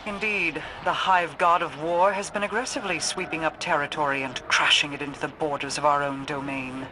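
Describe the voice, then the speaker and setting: An actor playing a grave, scholarly strategist in a video game, speaking formally and deliberately. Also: male voice, a little high, steady tone, lightly acted. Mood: grave, thoughtful. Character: grave, scholarly male strategist explaining an enemy's war plans. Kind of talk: scripted video game dialogue. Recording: game audio with clear background noise.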